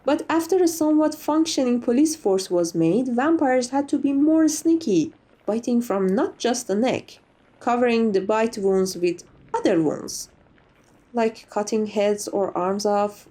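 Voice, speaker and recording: Woman playing a mellow, theatrical, chatty lady vampire. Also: a little high, measured pace. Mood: matter-of-fact storytelling. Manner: playfully dramatic, hammy asides, chatty. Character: chatty, theatrical, vain but silly female vampire, over a century old. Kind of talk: ASMR roleplay, in-character interview monologue.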